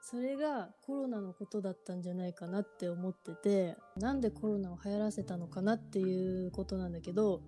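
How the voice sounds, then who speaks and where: A woman's voice: medium pitch, fairly quick, hardly any pauses, mellow, faint music behind. A woman in her thirties chatting casually with friends as a podcast co-host. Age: 30s. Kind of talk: casual chat among friends.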